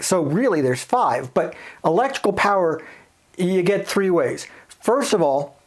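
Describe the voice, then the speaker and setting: A reviewer giving a casual, plain-spoken, conversational product review to camera. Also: medium pitch.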